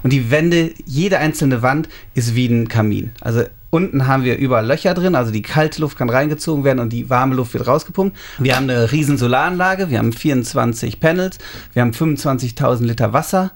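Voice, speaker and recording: A man in his thirties from Germany, calmly explaining details in a casual interview. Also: mid-range voice. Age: 30s. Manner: explanatory, unhurried, describing details. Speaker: interviewee, homeowner.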